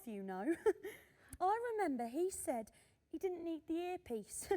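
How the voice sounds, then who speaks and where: A woman's voice: high-pitched, a little mellow. Cheeky old lady in a radio play, really swoopy voice, big reverb, modest and plain spoken. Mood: delighted reminiscing. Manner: chuckling, self-deprecating, plain-spoken. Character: cheeky, modest old woman, former pioneering radio singer. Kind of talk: radio drama acting. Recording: long hall-like reverb.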